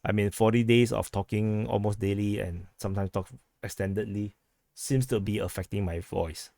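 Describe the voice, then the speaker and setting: A man hosting a vlog, giving casual commentary in a halting, rambling way full of restarts and fillers, his voice hoarse as he is losing it. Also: on the low side, steady tone, warm tone, dry recording. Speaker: vlog host giving news updates.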